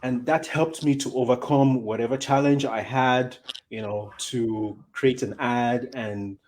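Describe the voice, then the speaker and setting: Relaxed man with a mid-pitched voice. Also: a little slow. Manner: relaxed, says "you know" often. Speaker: talk show guest. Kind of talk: casual chat.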